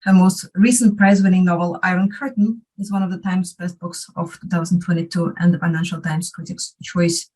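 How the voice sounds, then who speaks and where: A woman's voice: on the low side, steady tone, brisk, few pauses, darkish tone, poor audio. A woman chairing an academic panel, introducing a speaker with many hesitations. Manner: hesitant, many fillers and restarts.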